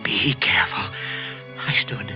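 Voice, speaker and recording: A man's voice: lowish voice, unhurried, lightly acted. Guilty, stammering office clerk played by a male actor, old suspense radio, muffled recording with music behind. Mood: fearful, muttering. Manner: stammering, nervous, hesitant. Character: jittery, guilty male office clerk, murderer narrating his unraveling. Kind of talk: 1940s radio suspense acting and narration.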